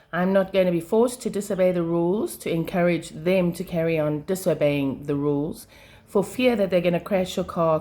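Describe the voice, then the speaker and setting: A South African woman venting about her drive, with frequent throat clearing as she gets over a sinus attack. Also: fairly low pitch, a little mellow. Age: adult mother of a schoolchild. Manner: frustrated, passionate, many fillers. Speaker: vlogger. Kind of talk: casual to-camera rant.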